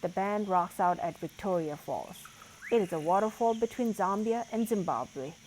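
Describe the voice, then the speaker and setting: A woman's voice: medium pitch, normal speed, darkish tone, slight background noise, rough recording. A woman reading a children's picture book aloud as a storyteller.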